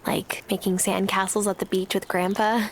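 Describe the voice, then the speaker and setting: A woman playing a caring young newcomer to an island farm in a video game, gentle and reflective. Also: mid-range voice, little pitch movement, normal speed, slight background noise, natural voice. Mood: wistful.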